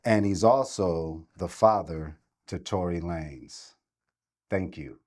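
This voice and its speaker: Slow, low-voiced interview host. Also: male voice, a little mellow. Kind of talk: introducing a guest.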